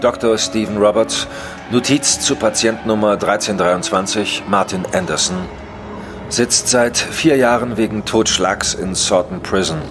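An actor playing a driven, clinical psychotherapist in a psycho-crime audio drama. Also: male voice, fairly low pitch, a little slow, close to an everyday voice. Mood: clinical, frustrated. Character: driven male psychotherapist doctor, dictating case notes, probing patients. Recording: studio drama under loud music. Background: loud dramatic music.

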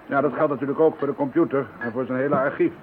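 An actor playing a senior police officer in a radio play, dryly humorous, measured and formal. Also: male voice, medium pitch, fairly even intonation, narrow, tinny audio, crowd noise, natural voice. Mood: earnest, dry. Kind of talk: radio drama acting. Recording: thin radio recording with faint noise.

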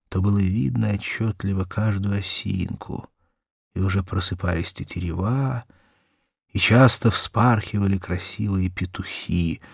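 A man with a husky, gravelly voice, reading a children's story as an expressive audiobook narrator. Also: sits low, no room sound, studio quality.

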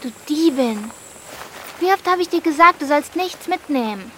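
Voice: high voice, animated, moderate pace, sharp tone, a little hiss or hum. A young performer playing an anxious, earnest thirteen-year-old village girl, worried and insistent, in a radio drama. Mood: reproachful.

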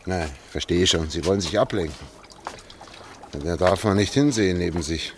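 A man playing a gruff, sardonic working man who guides an escape in a radio drama, with a dry, brusque delivery. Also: low voice, moderate pace, lightly acted. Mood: dry, mocking. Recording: trickling water noise behind the voice. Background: trickling, dribbling water.

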